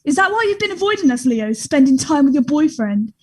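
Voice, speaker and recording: Female actor as young bully, high voice, brash, taunting, play read-through. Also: very animated, a little fast, darkish tone. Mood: mocking, accusing. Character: brash, mocking young male homophobic bully.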